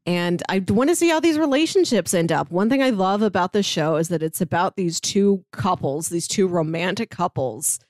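A woman's voice: fairly low pitch, expressive, moderate pace, few pauses, darkish tone, dry recording. A woman co-hosting a casual podcast, chatting eagerly about a TV show and laughing often. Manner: enthusiastic, gushing with laughs. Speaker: podcast co-host. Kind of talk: casual chat.

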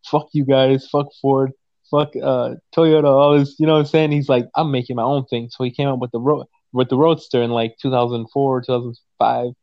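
Twenties man, mid pitch, profane and emphatic, dry slightly muffled sound. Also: level tone, fairly quick, no echo. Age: 20s. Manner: profane, emphatic, repeats "you know what I'm saying". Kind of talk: casual conversation.

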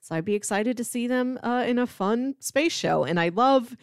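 A woman chatting casually as a podcast co-host, pitching shows to her partner. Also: lively intonation, moderate pace, smooth, no echo, studio quality.

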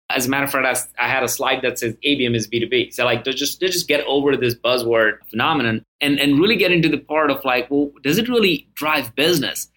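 A man's voice: medium pitch, pushed and bright. A man hosting a podcast interview, chatty and enthusiastic, often restarting his sentences. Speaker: podcast host.

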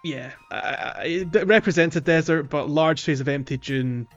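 A man with a fairly high voice hosts a casual gaming podcast, chatting and steering the talk. The sound is a little dull and lo-fi, with music behind him. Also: normal speed. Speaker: podcast host.

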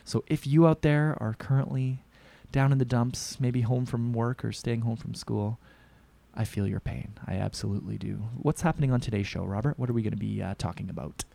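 A man's voice: mid-range voice, a little fast, creaky, mellow, no room sound. A man co-hosting a radio show in casual chat, a bit nasal from a chest cold, joking about himself. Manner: jokey, self-deprecating. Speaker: radio co-host. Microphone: studio microphone.

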